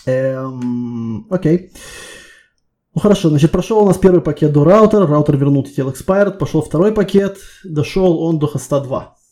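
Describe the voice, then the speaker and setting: A man's voice: a little high, expressive, normal speed, mellow. A man conducting a spontaneous technical job interview, asking probing questions one step at a time.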